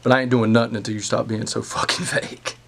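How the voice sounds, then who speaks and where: A man's voice: medium pitch, flat delivery, quick pace, vocal fry, a bit bright. A man playing a defiant, foul-mouthed drug dealer with a short fuse in a screen drama, blunt and streetwise. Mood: defiant, impatient. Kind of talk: naturalistic screen drama acting.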